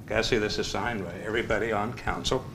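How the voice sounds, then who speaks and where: Low-voiced male mayor chairing a council meeting, measured delivery, steady background hum on the mic. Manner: measured, reads prepared text then chats loosely. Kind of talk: formal meeting chairing and reading a proclamation. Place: council chamber.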